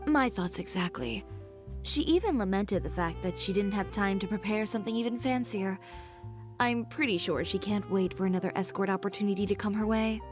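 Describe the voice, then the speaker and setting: A woman's voice: mid-range voice, brisk, music in the background, lightly acted. A woman voicing a poised, frank young shop owner in video game dialogue, articulate and matter-of-fact. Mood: composed.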